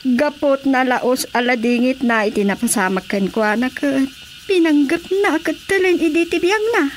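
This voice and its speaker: A woman's voice: high voice, animated, moderate pace, slight background noise. An actress playing a fretful, gossipy older village mother in a Filipino radio drama comedy, with an Ilocano accent. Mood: concerned, gossipy.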